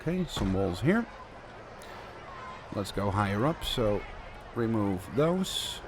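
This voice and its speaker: A man's voice: fairly low pitch, takes it slowly, noisy background. Dutch-accented man streaming a building game. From Netherlands. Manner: relaxed, chatty, answers chat questions while building. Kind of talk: casual live-stream gameplay commentary.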